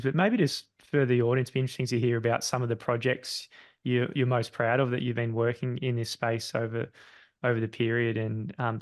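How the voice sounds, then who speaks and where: A man's voice: mid-range voice, dry recording. A man hosting an interview podcast, asking loose, rambling questions. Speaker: podcast host. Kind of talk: interview conversation.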